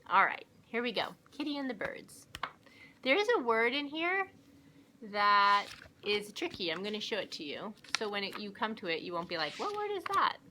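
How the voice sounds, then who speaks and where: A woman reading aloud slowly to young children like a teacher, with a deliberate, coaching and animated manner. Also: medium pitch, a little hiss or hum. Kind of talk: slow read-along for young children.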